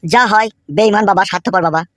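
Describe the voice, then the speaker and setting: Male performer as young cartoon villager, high voice, very rapid, indignant, foul-mouthed, emphatic. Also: a bit bright, dry recording, studio quality. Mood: aggrieved. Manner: crude, complaining, emphatic. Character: aggrieved foul-mouthed young village man desperate to marry. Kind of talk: comic Bengali cartoon dialogue.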